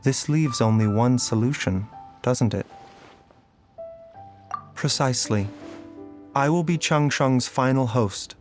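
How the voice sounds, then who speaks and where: A man playing a calm, resolute, scholarly physician in a video game, speaking in a measured, articulate way over music. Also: medium pitch, lightly acted. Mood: calm, determined. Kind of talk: scripted video game dialogue. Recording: music behind the voice.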